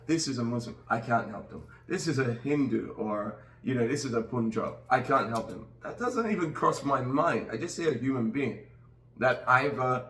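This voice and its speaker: A man's voice: medium pitch, a bit bright. A middle-aged man from Nigeria hosting a casual podcast conversation, talking earnestly and rambling with many restarts. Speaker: podcast host. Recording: roomy sound, distant from the mic.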